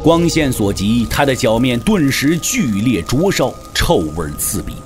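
A man's voice: medium pitch, big pitch swings, measured pace, soft background music. A man giving a dramatic scripted narration of a martial arts story.

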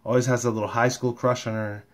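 A man's voice: medium pitch, flat delivery, fast. A man hosting a casual fan vlog, rambling along in slangy commentary. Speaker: YouTube host and fan comic dubber.

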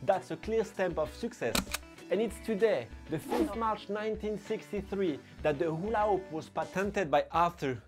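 A young adult man hosting a scripted, playful fact vlog in an animated way. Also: sits high, normal speed. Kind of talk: energetic scripted fact-of-the-day vlog with skits. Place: small furnished room indoors. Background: background music.

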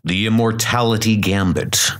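A man's voice: on the low side, fairly even intonation, normal speed. A man narrating a comic book in a dramatic, scripted style. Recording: loud music under the voice.

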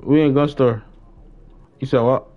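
Young American male streamer, casual slang, repetitive phrasing, medium pitch, lo-fi sound. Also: fairly even intonation, unhurried, a little mellow. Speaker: livestreamer. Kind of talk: casual gaming stream chatter. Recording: dull lo-fi game-stream mic.

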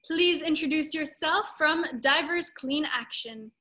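A young woman hosting a panel over a video call, effusive and expressive as she introduces guests. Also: high-pitched, measured pace. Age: young adult. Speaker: host of a panel talk. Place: dim room at home, indoors.

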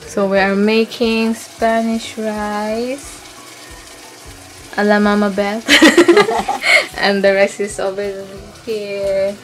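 A woman's voice: medium pitch, extremely slow, poor audio. A young woman vlogging in a home kitchen, chatting casually and reacting with short, eager remarks. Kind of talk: casual cooking chat. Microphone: camera mic. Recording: music playing under voices.

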